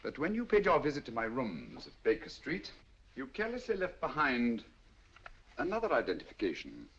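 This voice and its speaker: Picture a calm, shrewd detective, measured and courteous with a dry confidence. His delivery swings lively and expressive through each line, the tone a touch husky. It all comes through a dull, muffled old radio recording. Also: medium pitch, exaggerated voice. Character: shrewd, calm male detective, middle-aged. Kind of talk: 1940s radio acting.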